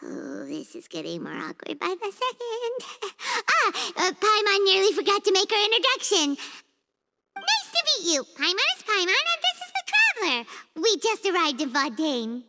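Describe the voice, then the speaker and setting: A woman's voice: extremely high pitch, huge pitch swings, normal speed, sharp tone, full character voice. A woman voicing a tiny, chatty female companion who talks about herself in the third person and stammers when flustered. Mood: curious. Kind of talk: video game cutscene dub. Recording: long reverb.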